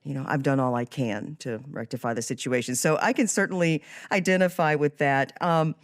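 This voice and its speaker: A woman's voice: low voice, fairly quick, dry recording, clean studio sound. A woman hosting a podcast interview, talking conversationally about a book and faith. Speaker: podcast host.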